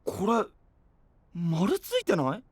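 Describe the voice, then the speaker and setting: A man's voice: high voice, huge pitch swings, takes it slowly, breathy edge, no room sound, exaggerated voice. An actor playing a boyish, frank and upbeat young male knight with casual, direct speech in an anime-style voice drama. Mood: curious.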